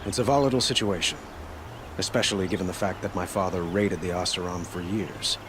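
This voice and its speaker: A man playing an earnest young king in a video game, speaking in a formal, measured, eloquent way. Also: deep voice, fairly even intonation, background noise, lightly acted. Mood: grave, measured. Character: young sun king, regal, earnest, measured male ruler. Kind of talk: scripted video game dialogue.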